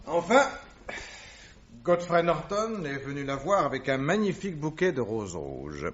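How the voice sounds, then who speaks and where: A man's voice: a little high, sing-song, measured pace, a bit bright, slightly rough, lo-fi sound, heavily acted. An actor playing a witty, eager male detective who tells things playfully and vividly in a French radio drama. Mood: composed, sly.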